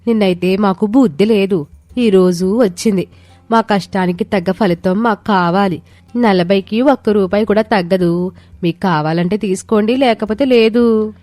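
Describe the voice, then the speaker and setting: Woman voicing an earnest village daughter-in-law, mid-pitched. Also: moderate pace, mellow, no echo, lightly acted. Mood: firm selling. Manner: earnest, emphatic. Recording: music behind the voice.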